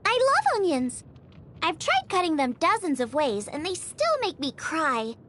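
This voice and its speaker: A woman's voice: extremely high pitch, huge pitch swings, unhurried, a bit bright, a little hiss or hum, exaggerated voice. A woman voicing a cheerful, eager teenage girl chef in a video game, chatty and enthusiastic. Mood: perky, eager. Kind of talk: video game character voice lines. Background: music.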